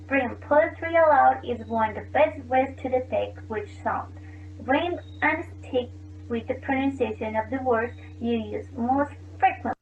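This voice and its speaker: A woman's voice: high-pitched, a little hiss or hum. A woman student reading a class presentation aloud with careful delivery. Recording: tinny, roomy and distant.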